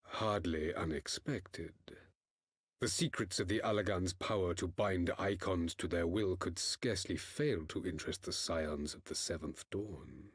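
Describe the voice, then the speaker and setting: A man playing a solemn, imperious emperor in a fantasy game, speaking in cold, measured, formal phrases with a husky voice. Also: sits low, dry recording, studio quality, full character voice. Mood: cold composure. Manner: formal, measured, archaic grand diction. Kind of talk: scripted fantasy game cutscene acting.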